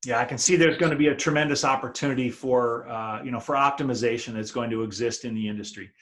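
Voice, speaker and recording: A man's voice: mid-range voice, steady tone, fast talker, fairly bright tone. A man hosting an interview in an informal, conversational way, with frequent filler phrases. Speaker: interview host.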